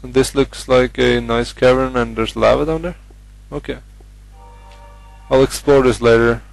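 A man's voice: medium pitch, steady tone, a little slow, gravelly, dry recording, faint music behind, poor audio. A young man from Norway with a Norwegian accent, casually commentating his game with many hesitant fillers. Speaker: gamer.

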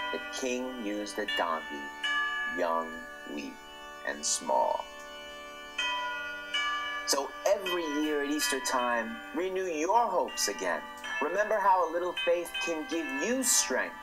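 A man reads a rhyming children's story at an unhurried pace. His voice lifts and swells with the lines. Guitar music plays steadily beneath him. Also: medium pitch, low-quality recording. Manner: slow, lilting storyteller with lively swells. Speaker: author reading his picture book. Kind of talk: rhyming storybook read-aloud over music. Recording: played back with guitar music behind.

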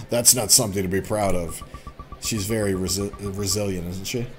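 Guy with a lowish voice doing a lets play, reads the game out and chats over it, game music behind. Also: moderate pace. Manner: chatty, interjects reactions while reading. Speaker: gaming let's play host. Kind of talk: let's play commentary, reading visual novel text aloud.

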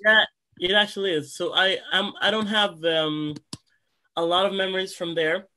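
A man's voice: high-pitched, normal speed, a little hiss or hum. A young man from Congo, a guest singer, talking eagerly over a video call from a room at home, often restarting his sentences. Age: young adult. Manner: eager, excitable, many restarts. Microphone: laptop webcam on a video call.

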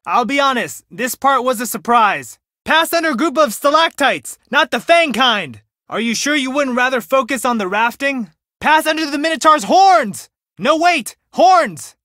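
A woman playing a spirited, teasing adventure game character, expressive in delivery. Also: high voice, normal speed, no room sound, studio quality. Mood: urgent, playful. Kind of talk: video game voice acting.

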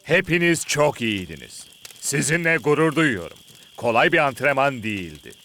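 A man's voice: medium pitch, sing-song, moderate pace, pushed and bright, soft background music. A man voicing a commanding, proud football coach in a cartoon, emphatic and instructive. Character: commanding, proud male football coach, demanding but fatherly. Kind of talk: cartoon dub acting.

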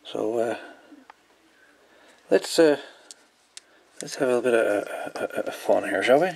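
A man's voice: medium pitch, expressive, unhurried, vocal fry, darkish tone, husky. A man hosting a casual hands-on electronics demo, rambling and thinking aloud as he goes. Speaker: hobbyist video host.

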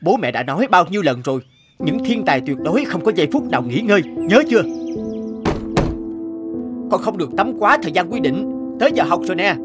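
A highish-voiced pushy cartoon dad. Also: male voice, sing-song, a little fast, pauses often, music in the background. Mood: stern. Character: proud, pushy, demanding father of a boy. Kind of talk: cartoon voice acting.

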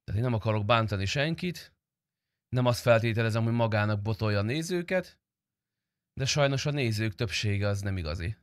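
A man's voice: lowish voice, clean tone, no room sound, studio quality. A young man chatting casually on a game livestream in informal, slangy, sometimes profane speech. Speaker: game streamer.

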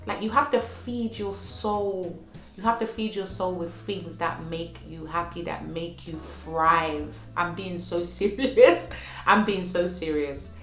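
A woman's voice: lowish voice, steady tone, slow. A woman in her thirties with a slight cold, giving earnest motivational life lessons in a home vlog and repeating phrases for emphasis. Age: 30s. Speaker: vlogger. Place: at home, child playing in next room. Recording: echoey room with faint music.

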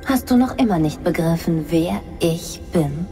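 A woman's voice: on the low side, lively intonation, a little slow, mellow, big-room sound, soft background music. An actress playing a mocking blonde woman who claims to be the original, speaking in a taunting, condescending way. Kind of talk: audio drama acting.

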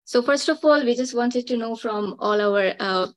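Woman panel moderator, halting delivery full of fillers, dry close sound. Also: on the high side, dry recording. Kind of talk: reading speaker introductions.

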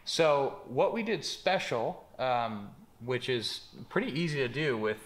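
A man's voice: mid-range voice, expressive, a little slow. A young man casually walking viewers through a setup in a large bare warehouse workshop, talking with many fillers and moving about as he shows things. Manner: casual, filler-heavy, gestures while explaining. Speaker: company presenter. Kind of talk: casual product walkthrough.

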